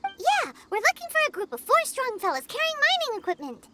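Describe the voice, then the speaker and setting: A woman voicing a tiny, excitable, chirpy childlike companion with a squeaky voice in a video game. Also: fairly quick, heavy background noise, heavily acted. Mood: curious. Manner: refers to self in third person, exclaims eagerly.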